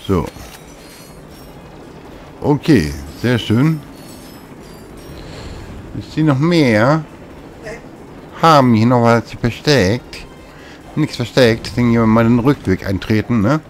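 A man's voice: mid-range voice, drawn-out words, long pauses, a little hiss or hum. A man casually commenting on a video game as he plays, muttering to himself, with game music behind him. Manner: chatty, mutters to himself while playing. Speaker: let's play host.

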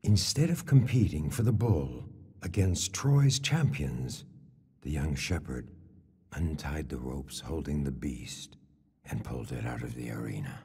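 An actor as the grave storyteller of an ancient Greek legend in a video game, narrating in a solemn, measured way. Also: male voice, rumbling low, vocal fry, mellow, breathy edge, exaggerated voice. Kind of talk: scripted epic narration.

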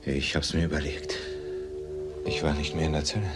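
An actor playing a haunted, withdrawn ex-convict in a crime radio drama, speaking haltingly in short, broken phrases. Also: male voice, a bass voice, flat delivery. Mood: regretful. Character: haunted ex-convict confessing dark past, withdrawn. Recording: music under the dialogue.

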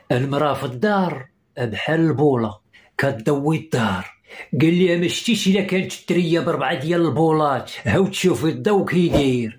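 A man with a Moroccan accent telling short comic stories as a lively narrator. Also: fairly high pitch. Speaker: joke teller, narrator. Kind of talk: animated comic storytelling of short jokes.

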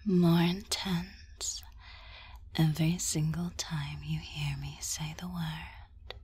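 A woman whispering a guided ASMR hypnosis script in a breathy voice, soothing yet commanding and suggestive, with drawn-out pauses. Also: sits low, steady tone, a little mellow, slight background noise.

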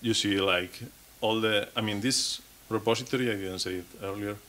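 Man, 30s, lowish voice, speaking from a podium at a conference, restarting and repeating words as he goes. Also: fairly even intonation, normal speed, pushed and bright. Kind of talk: technical conference talk. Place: conference stage.

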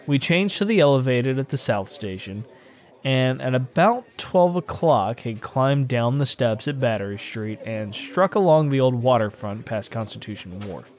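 A man's voice: medium pitch, lively intonation, moderate pace. A man narrating a classic horror story in a dramatic, literary audiobook style.

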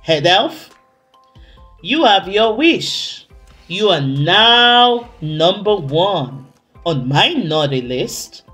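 Woman playing a measured, jolly old Santa. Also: very animated, fairly bright tone, soft background music, heavily acted. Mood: stern. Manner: booming, measured.

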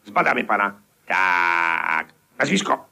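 An actor playing a pompous, absurd, irascible police chief in a 1950s radio play. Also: male voice, low voice, sing-song, slow, vocal fry, sharp tone, heavily acted. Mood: officious. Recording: vintage 1950s radio recording, music behind.

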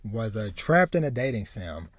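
A man in his thirties chats casually as a podcast host. He talks in halting runs, doubling back on words, and the sound is dry and close, with little room in it. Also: fairly low pitch, very animated, dry recording. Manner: halting, repeats words as he goes. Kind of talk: casual chat about anime. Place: small room with bookshelves, indoors, on a video call.

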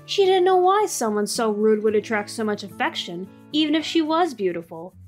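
A woman narrating a storybook audio drama in a gentle, even way. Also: fairly high pitch, moderate pace, soft background music. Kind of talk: scripted narration.

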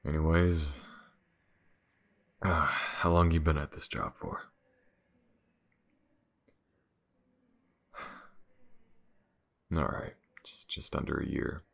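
An extremely low-voiced, gruff detective played by a male voice actor in an intimate audio roleplay. He is weary and aloof, muttering slowly and haltingly, with heavy gravel in the voice as he addresses his new partner. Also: steady tone, fairly bright tone, exaggerated voice. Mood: weary, reluctant. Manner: halting, muttering, sighing, informal.

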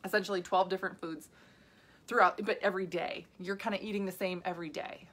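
A woman's voice: on the low side, fairly even intonation, fast, a bit bright. A woman in her thirties, a health coach, chatting casually to viewers on a live video with many asides and restarts.

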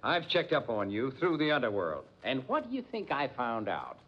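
Wry, pushy police lieutenant, old radio crime drama; animated pitch, husky muffled recording. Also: male voice, normal speed. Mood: needling, assured. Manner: wry, pushy, folksy colloquial phrasing. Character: sardonic, needling police lieutenant. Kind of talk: 1940s radio acting.

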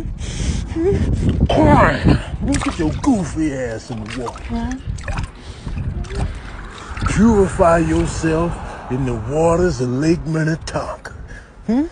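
A man's voice: on the high side, lively intonation, takes it slowly, long pauses, heavy background noise. A man voicing a menacing, mocking animal in a comic voice-over, with questioning hums. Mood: taunting. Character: menacing, taunting animal confronting a fisherman.

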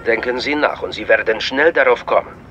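An actor playing a composed, secretive informant in a German crime radio drama, speaking in a controlled, patronising way. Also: male voice, medium pitch, fairly quick, fairly bright tone, lo-fi sound, faint music behind, close to an everyday voice. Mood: cool, reassuring.

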